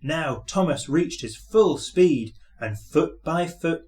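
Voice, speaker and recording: A man's voice: fairly high pitch, animated, slow, darkish tone. A man reading a children's story aloud as scripted narration.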